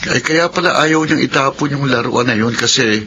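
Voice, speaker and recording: A man's voice: mid-range voice, normal speed, close to an everyday voice. A young man playing a teasing older brother and son in a Filipino radio drama, speaking conversationally. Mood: curious. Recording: dull lo-fi radio, background noise.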